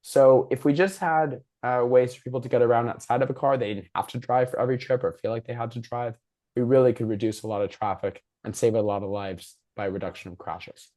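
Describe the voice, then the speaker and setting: A man with a worn, hoarse voice speaks at an average pitch. He moderates a public discussion over a video call, giving a prepared presentation in an informal way. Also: steady tone, a little fast, mellow. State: hoarse, losing his voice. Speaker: moderator and host of a civic discussion.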